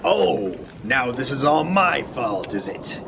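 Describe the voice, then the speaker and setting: A man's voice: mid-range voice, big pitch swings, slow pace, gravelly, heavily acted. A man playing a seasoned, wry lighthouse keeper in an amateur radio play, speaking in a dry, matter-of-fact way. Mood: agitated. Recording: noisy rain and storm ambience.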